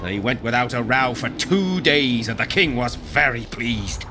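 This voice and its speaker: Fantasy game war veteran, male actor: medium-pitched, rustic, proud and blunt soldier, noisy backdrop. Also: expressive, a little slow, lightly acted. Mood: proud, fond. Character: rough, battle-hardened medieval army veteran, proud and blunt. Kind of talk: video game dialogue acting.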